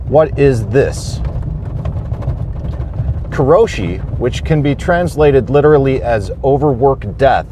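Man, podcast host, very slow deliberate delivery, steady noise behind, thoughtful pauses. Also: medium pitch. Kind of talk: casual solo podcast talk. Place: on a homestead farm.

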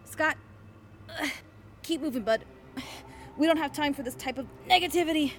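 A woman's voice: high voice, moderate pace, background noise, close to an everyday voice. A woman playing a plucky, protective hiker in an audio drama, coaxing and slangy. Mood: urgent.

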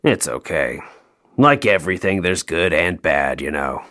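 An actor playing a jaded, sardonic male elf toymaker in an audio play, deadpan, dry and downbeat. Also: low-pitched, a little slow, full character voice. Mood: wry, resigned.

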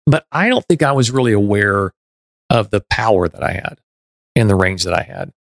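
A man's voice: on the low side, expressive, moderate pace, vocal fry, dry recording. Man from Texas, middle-aged podcast host, earnest and reflective, dry close sound. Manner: earnest, self-reflective, frequent restarts. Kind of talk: casual conversation.

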